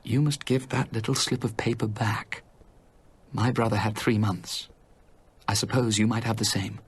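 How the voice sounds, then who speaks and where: An actor playing a grave, guarded British gentleman in a radio drama, with a husky voice and a measured, sombre manner. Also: fairly low pitch, steady tone. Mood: grave, reluctant. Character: grave, guarded gentleman, steadfast confederate in a plot.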